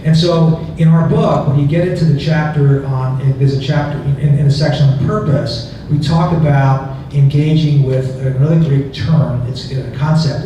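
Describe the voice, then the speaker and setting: A man's voice: mid-range voice, mellow. A man presenting his book to an audience, talking conversationally with restarts and chuckling asides. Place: museum event hall. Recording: echoey hall from far off, noisy.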